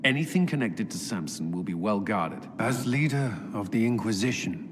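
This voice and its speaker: An actor playing an earnest, dutiful military commander and former templar in a video game, speaking formally and with measured care. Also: male voice, on the low side, expressive, slight background noise, close to an everyday voice. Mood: grave, urgent. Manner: earnest, measured, formal, hesitant in personal talk. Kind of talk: video game character dialogue. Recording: long hall reverb, clear background ambience.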